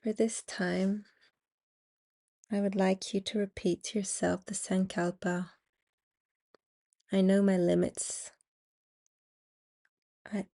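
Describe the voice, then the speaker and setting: Female yoga nidra guide: mellow tone, slow pace, soothing and spacious delivery. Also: medium pitch, level tone, vocal fry. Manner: soothing, unhurried instructions with long pauses. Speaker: meditation guide and podcast host.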